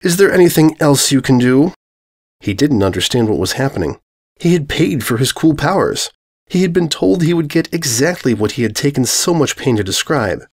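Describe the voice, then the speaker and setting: Man narrating with lively pitch. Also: a little fast, breathy edge. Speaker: fan fiction narrator. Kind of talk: scripted comic story narration.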